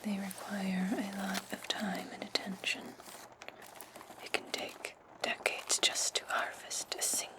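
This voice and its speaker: A woman playing a stern, imperious witch in a slow, breathy whispered ASMR roleplay, speaking deliberately close to the microphone. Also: mid-range voice, flat delivery, close to an everyday voice. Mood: stern, instructive. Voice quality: breathy, mostly unvoiced whisper. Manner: deliberate, imperious, menacing, drawn-out phrasing. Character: stern, commanding witch demanding servant's obedience.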